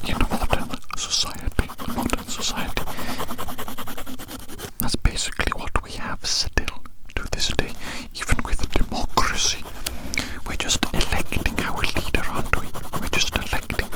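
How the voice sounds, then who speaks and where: This is an ASMR performer speaking entirely in a breathy whisper, very close to the microphone. The delivery rambles along, circling back to repeat phrases and addressing the listener as a friend. Soft scratching sounds sit behind the voice.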